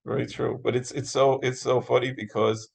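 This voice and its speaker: Casting: American man, moderator type, creaky voice, enthusiastic and agreeable in casual conversation. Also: medium pitch, little pitch movement, moderate pace. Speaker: interviewer, moderator. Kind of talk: casual interview chat about classic radio.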